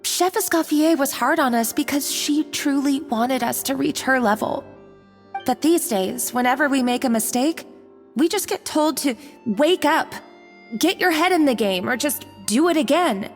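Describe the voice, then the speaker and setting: Timid, very high-voiced young cook. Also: female voice, normal speed. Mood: anxious explaining. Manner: stammering, pleading, flustered. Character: timid, apologetic young female junior cook, anxious and near tears. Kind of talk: video game voice acting. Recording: long reverb with music behind.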